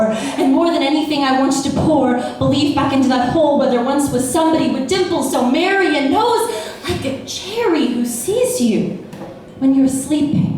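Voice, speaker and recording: A woman's voice: normal speed, darkish tone. A young American woman from Texas performing her own spoken word poems at an open mic, playful and wry. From Austin, Texas, USA. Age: young adult. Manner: playful, wry, with sudden pitch jumps and laughs. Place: small bar. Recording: echoey bar room, voice from far off.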